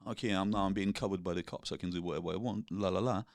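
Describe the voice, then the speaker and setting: A man's voice: low-pitched, rapid-fire, dry recording, clean studio sound. A Russian-born man in his forties who grew up in England, speaking in a laid-back, swear-heavy chat as a podcast guest. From Russia, raised in England. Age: 40s. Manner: laid-back, swears freely, British slang. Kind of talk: casual interview chat.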